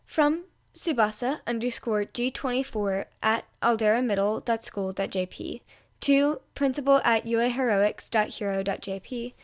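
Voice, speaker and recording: High-voiced woman narrating a story. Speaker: fan fiction reader and podfic host.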